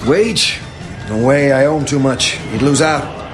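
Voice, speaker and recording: Guy playing broke streetwise hustler, curt and defiant, medium pitch, music behind, radio play. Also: unhurried, lightly acted. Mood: evasive, edgy. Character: broke, cornered young hustler, defiant and evasive. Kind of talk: radio drama dialogue.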